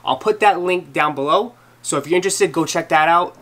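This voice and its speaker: A man's voice: on the high side, brisk, a bit bright. A man in his thirties with a Boston accent from Massachusetts, giving a casual closet tour in a small closet, gushing about each piece with lots of fillers. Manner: enthusiastic, filler-heavy, gushing. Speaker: fashion vlogger. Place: small bedroom closet, indoors. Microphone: camera on tripod at close range. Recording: background music under voice.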